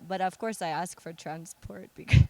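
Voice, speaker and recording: A woman's voice: on the low side, steady tone, normal speed, warm tone, no room sound. A young American woman, a musician, chatting casually in an interview, joking and laughing often. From United States. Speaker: musician guest.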